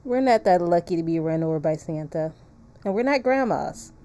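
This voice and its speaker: A woman's voice: fairly low pitch, lively intonation, normal speed, mellow. A woman livestreaming a game, chatting casually in a playful, joking manner. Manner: playful, joking, crude humor. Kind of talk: casual game-stream chat and reading aloud.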